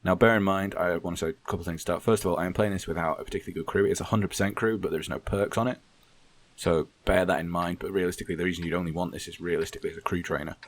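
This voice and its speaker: A man with a croaky, hoarse voice, giving rambling, blunt gameplay commentary and often correcting himself. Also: deep voice, steady tone, rapid-fire. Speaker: gaming commentator. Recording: game sounds clearly behind the voice.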